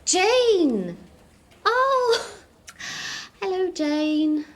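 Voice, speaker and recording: A woman's voice: very high-pitched, very animated, very slow, full character voice. An actress playing a flustered mother who stammers and trips over her words, with a sarcastic edge. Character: flustered middle-aged mum juggling calls. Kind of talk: sitcom acting.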